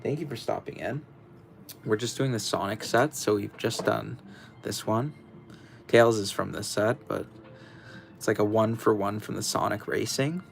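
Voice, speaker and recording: Gravelly deep-voiced young man. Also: moderate pace, a little hiss or hum. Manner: rambling, hesitant, many fillers and restarts. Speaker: livestream host. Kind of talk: casual livestream chat while building.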